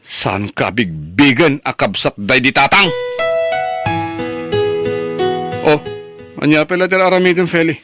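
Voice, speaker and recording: A man's voice: a little high, moderate pace, over loud music, lightly acted. A man playing a defiant, hot-tempered nephew in a family radio drama, confrontational and menacing. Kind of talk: Ilocano radio drama acting.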